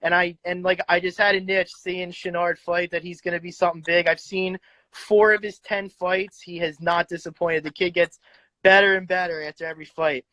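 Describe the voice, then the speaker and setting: Bright high-voiced male interviewer, dry sound. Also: level tone, no echo, rough recording. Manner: chatty, quick to laugh, lots of fillers. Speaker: interview host, boxing fan. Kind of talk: casual interview.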